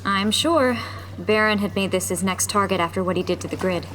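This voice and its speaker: Woman playing a young rebel leader, brusque, edgy, mid-pitched voice, faint noise behind. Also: natural voice. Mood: determined. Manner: brusque, quick to panic. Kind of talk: audio drama acting.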